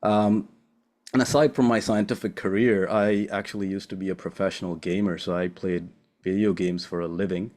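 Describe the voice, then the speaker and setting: A young man from Sri Lanka, a postdoc, giving a friendly, casual seminar talk over a remote call, with many filler pauses. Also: deep voice, fairly even intonation, darkish tone. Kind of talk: academic seminar presentation.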